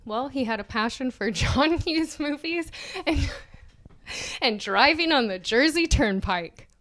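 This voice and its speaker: A woman's voice: sits high, expressive, unhurried, fairly bright tone. A woman co-hosting a comedy podcast, reading an article aloud mock-dramatically with joking asides and often breaking into giggles. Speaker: podcast co-host.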